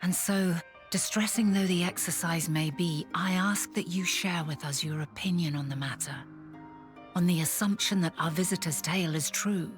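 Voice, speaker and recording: Low-voiced, solemn noblewoman played by an actress. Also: soft background music, close to an everyday voice. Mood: solemn, tactful. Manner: formal, tactful, deliberate. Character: dignified ancient woman leader, solemn and diplomatic. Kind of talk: video game cutscene acting.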